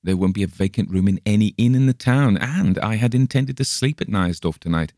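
A man's voice: lowish voice, animated, fairly quick, a little mellow, dry recording, clean studio sound, voice pushed up. A man voicing a friendly, boyish young German brewer who talks in an earnest, chatty way, lifting his voice above his own. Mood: dismayed.

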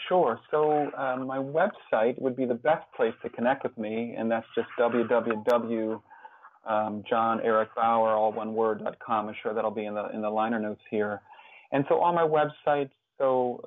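A man answering as an interview guest in an earnest, conversational way, halting often and restarting as he searches for words. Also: mid-range voice, steady tone, phone-quality sound. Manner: halting, hesitant, searching for words with repeated false starts. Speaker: podcast guest, author and caregiving mentor.

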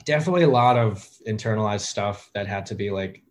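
A man in his thirties, a guest talking casually in an interview, with a relaxed, hesitant way of talking full of fillers and restarts. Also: lowish voice, quick pace. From United States. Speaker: guest, music producer.

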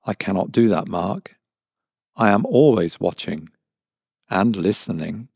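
A man voicing a smart-speaker AI that speaks in a flat, measured, politely menacing way. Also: low-pitched, gravelly, breathy edge, no echo, studio quality, full character voice. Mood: cold, polite. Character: cold watchful smart-speaker AI, male.

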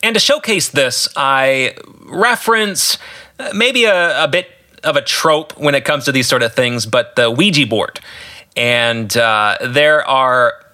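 A man from the United States hosting a podcast, giving informal solo commentary on his own book with halting pauses. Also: medium pitch, sharp tone.

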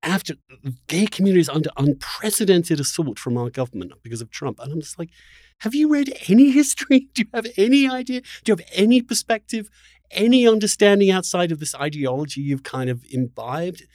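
A man hosting a casual, spirited interview, halting at first and then emphatic, arguing through rhetorical questions. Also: high voice, animated, darkish tone, dry recording, studio quality. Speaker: interview host.

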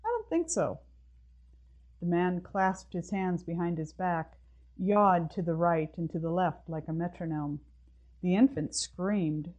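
A woman's voice: lowish voice, darkish tone, poor audio. A woman reading her own short story aloud as scripted narration.

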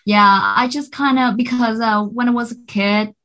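A woman's voice: a little high, fairly even intonation, moderate pace, a bit bright. A woman in her thirties from Vietnam, with a Vietnamese accent, chatting casually as an interview guest, full of fillers and restarts. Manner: hesitant, many fillers and restarts, upbeat.

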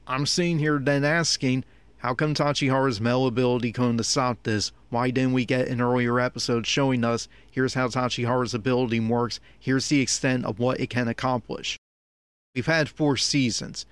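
Male reviewer, slightly high voice, exasperated and nitpicky, dry close sound. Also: no echo. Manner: critical, nitpicky, exasperated complaining. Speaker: podcast host giving episode commentary. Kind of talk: opinionated review commentary.

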